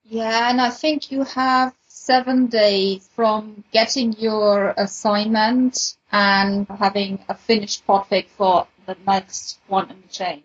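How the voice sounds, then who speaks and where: A woman's voice: mid-range voice, little pitch movement, slow pace, hardly any pauses, breathy edge, muffled recording. A woman co-hosting a podcast with casual announcements and chat. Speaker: podcast host.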